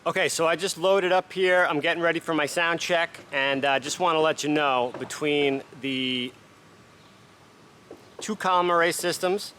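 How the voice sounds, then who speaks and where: A man's voice: on the high side, moderate pace, a little hiss or hum. A man casually reviewing DJ sound gear, chatty and upbeat with plenty of fillers, during a sound check. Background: loud music playing.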